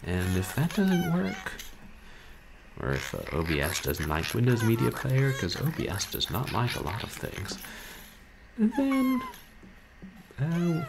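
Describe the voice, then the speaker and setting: A man's voice: medium pitch, wildly swooping pitch, slow pace, creaky. A man in his thirties chatting casually on a game livestream from home. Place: indoors at home. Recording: noisy home streaming microphone.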